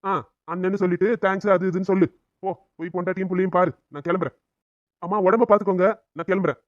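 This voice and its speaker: A man's voice: sits high, steady tone, no echo, natural voice. A man voicing a kind, brotherly auto-rickshaw driver who scolds and reassures his friends. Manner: brisk, big-hearted scolding. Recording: slightly dull, dry.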